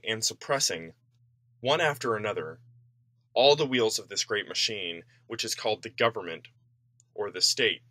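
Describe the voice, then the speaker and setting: Guy reading an essay out loud, formal and declarative, crisp bright voice, super dry sound no room. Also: medium pitch, no echo. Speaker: reader of an essay. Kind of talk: scripted narration, reading a political essay aloud.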